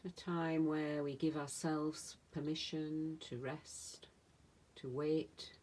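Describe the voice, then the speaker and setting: A woman meditation teacher leading a guided relaxation in a soothing manner, speaking in short phrases with long pauses. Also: low-pitched, level tone, darkish tone. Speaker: yoga nidra meditation teacher.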